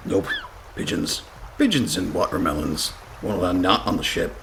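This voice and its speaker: A shifty pirate deckhand in a comic radio play. The voice is very deep and forceful, with a rambling stage pirate drawl and folksy turns of phrase. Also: male voice, expressive, normal speed, creaky, a little hiss or hum, full character voice. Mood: wary, blustering. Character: gruff, dim, shifty pirate sailor.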